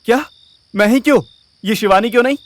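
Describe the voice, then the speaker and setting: A man's voice: very high-pitched, fast talker, dry recording. An actor playing a petty, whiny young male sidekick in a comic audio drama. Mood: whiny, demanding. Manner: whiny, complaining. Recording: music bed behind voice.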